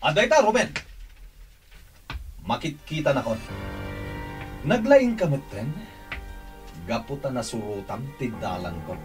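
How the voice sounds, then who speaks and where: A man's voice: medium pitch, big pitch swings, unhurried, long pauses, faint music behind. A man with a Filipino accent playing a menacing, taunting armed fugitive in a theatrical Ilocano radio drama. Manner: theatrical, taunting, swinging from mutter to shout. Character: menacing armed male fugitive hunting his rival.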